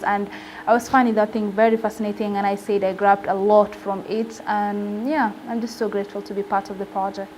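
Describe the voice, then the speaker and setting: Somali woman storyteller talking pretty monotone, faint hiss behind. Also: medium pitch, low-quality recording. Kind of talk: interview answer.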